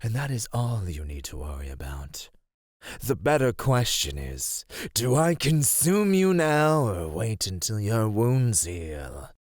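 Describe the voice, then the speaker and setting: A man performs as an ancient predatory serpent monster in an ASMR roleplay. He speaks slowly and deliberately, savouring ornate threats with teasing patience. The sound is close and dry, with no room around it. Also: fairly low pitch, very animated, darkish tone, no echo, full character voice. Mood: teasing, menacing. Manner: slow, deliberate, ornate menacing speech with whispered asides. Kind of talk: ASMR roleplay, scripted acting.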